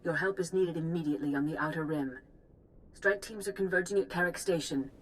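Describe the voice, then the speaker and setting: A woman playing a composed, authoritative Jedi master in a video game, speaking in a measured, formal and grave way. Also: fairly low pitch, a little hiss or hum, close to an everyday voice, low-quality recording. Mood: grave, urgent. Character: composed, authoritative female Jedi master giving mission briefings. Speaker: military commander briefing volunteers. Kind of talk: formal scripted game dialogue.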